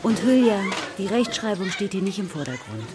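A woman's voice: medium pitch, very animated, brisk, over a noisy crowd, heavy background noise, close to an everyday voice. A woman playing a patient, encouraging primary school teacher in a children's radio drama, prompting her pupils with questions. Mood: patient, instructive. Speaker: actor in a children's radio play. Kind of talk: natural radio drama dialogue.

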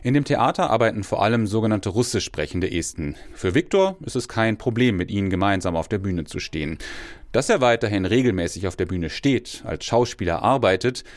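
A male radio reporter reads his scripted feature in a fairly low voice. His delivery stays measured and even from line to line.

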